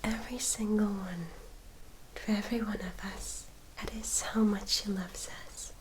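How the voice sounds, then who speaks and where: A woman playing a gentle, caring elder vampire sister of noble society in an ASMR roleplay, speaking softly, formally and soothingly straight to the listener. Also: medium pitch, steady tone, slight background noise, natural voice, rough recording. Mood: tender, admiring. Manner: slow, soft, formal and soothing, addressing listener directly.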